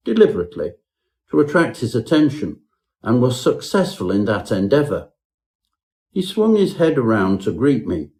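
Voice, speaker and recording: A man's voice: lowish voice, a little mellow. A man narrating a parody Victorian ghost story in a pompous, mock-genteel manner.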